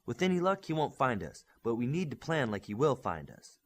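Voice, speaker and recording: A man's voice: medium pitch, sing-song, a little fast. A man voicing a young, earnest superhero squad leader in an audiobook, giving orders in an emphatic briefing style. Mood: commanding, urgent. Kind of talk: audiobook character dialogue.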